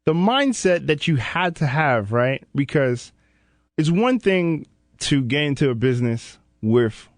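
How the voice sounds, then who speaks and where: A man's voice: a little high, sing-song, measured pace, studio quality. A man from Haiti hosting a casual interview, informal and affirming, often echoing what his guest says. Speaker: podcast host.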